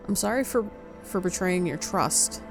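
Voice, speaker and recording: A woman with a slightly low voice plays through a visual novel, reading the text aloud with drama. Game music runs behind her. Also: lively intonation, a little slow.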